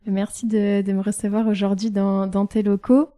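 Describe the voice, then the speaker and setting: A woman's voice: medium pitch, little pitch movement, moderate pace, mellow. A woman hosting a podcast, reading a scripted intro and then interviewing her guest with many hesitations and restarts. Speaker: podcast host. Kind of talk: casual interview.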